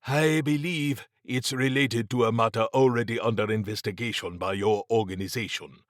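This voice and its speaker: Man voicing a wry, formal gentleman occultist. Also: medium pitch, steady tone, no room sound, studio quality, close to an everyday voice. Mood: guarded, uneasy. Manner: formal, deliberate, understated. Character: wry, erudite British gentleman occultist, measured, faintly pompous. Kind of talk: audiobook character acting.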